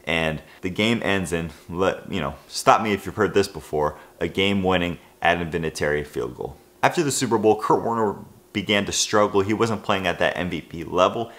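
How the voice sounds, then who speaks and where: A man's voice: low voice, fairly bright tone. A man in his twenties tells a football underdog story to camera in a casual, conversational way, often saying "you know". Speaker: sports video host. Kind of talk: scripted sports storytelling to camera. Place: living room of an apartment.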